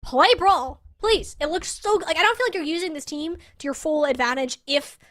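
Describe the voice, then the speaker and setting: Very high-voiced female esports commentator. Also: moderate pace, a bit bright. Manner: opinionated, colloquial. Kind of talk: live esports commentary, casual analysis.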